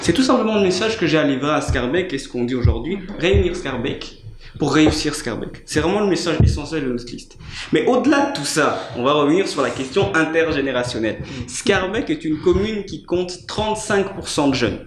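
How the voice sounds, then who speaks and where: A man's voice: fairly high pitch, expressive, brisk. A man and local election candidate, speaking earnestly and persuasively on a political panel. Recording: echoey studio with faint noise.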